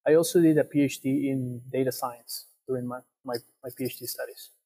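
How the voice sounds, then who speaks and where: Halting young man with an Ecuadorian accent. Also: medium pitch, fairly even intonation, dark tone, slightly rough. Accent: Ecuadorian Spanish. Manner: measured, halting, repeats words. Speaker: guest researcher, PhD student. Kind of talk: explaining research in an interview.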